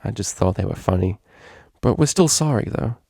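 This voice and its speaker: A man's voice: lowish voice, expressive, normal speed, gravelly, dark tone, slightly rough, dry recording, studio quality, heavily acted. A man voicing a friendly, earnest young female pony student in a story, eager and confiding. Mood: apologetic.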